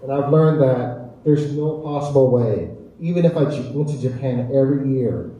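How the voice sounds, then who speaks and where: An American man speaks earnestly on a panel in a heavily echoing hall, picked up from a distance. His voice is very soft-edged and dark, and he talks deliberately, repeating phrases to make his point. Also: mid-range voice. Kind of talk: reflective panel discussion. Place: echoey indoor community event space. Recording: echoey hall, distant mic, faint noise.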